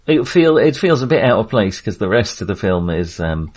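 Low-voiced man talking through a film. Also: sing-song, moderate pace, darkish tone. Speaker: podcast co-host. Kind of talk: casual film discussion.

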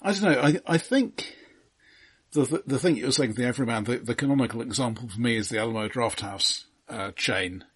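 A man with a husky, slightly rough voice at an average male pitch, in a dry recording. He speaks as a podcast co-host, casual and halting, often doubling back on the first words of a sentence. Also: a bit bright, dry recording. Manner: halting, repeats words as he starts. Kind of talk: casual film discussion.

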